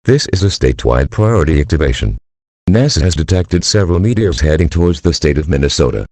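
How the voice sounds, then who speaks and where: A male synthetic-style voice as an impersonal emergency alert announcer, reading formal warnings in a flat, clipped manner. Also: extremely low pitch, fast talker, no echo, exaggerated voice. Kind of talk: scripted emergency broadcast announcement.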